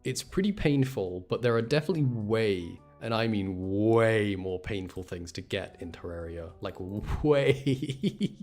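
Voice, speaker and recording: Low-voiced young man chatting on stream. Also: animated, measured pace, hardly any pauses, a little mellow, faint music behind. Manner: rambling, thinking aloud. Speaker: game streamer. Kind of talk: casual gaming stream chat.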